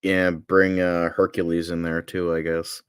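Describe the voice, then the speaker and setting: A man's voice: deep voice, flat delivery, a little slow, smooth, dry recording, studio quality. A man co-hosting a podcast, casually discussing film and TV. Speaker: podcast co-host.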